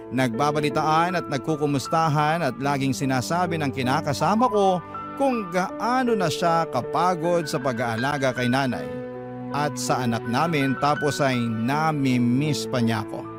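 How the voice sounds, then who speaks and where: A man narrating a scripted first-person story as a radio storyteller. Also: fairly high pitch. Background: music bed.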